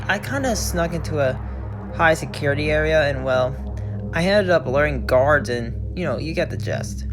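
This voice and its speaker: Male storyteller, informal scripted narration, occasional self-corrections, clear music bed underneath. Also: fairly high pitch. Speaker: host and reader of creepypasta episodes.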